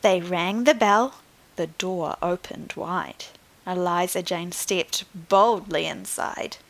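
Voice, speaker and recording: A woman reading a picture book to young children like a primary teacher, in an expressive, deliberate way. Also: lowish voice, creaky, low-quality recording. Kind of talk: scripted storybook narration for young children.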